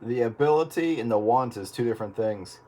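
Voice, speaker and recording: A man's voice: mid-range voice, lively intonation, brisk, mellow. A man talking casually with other operators over the radio.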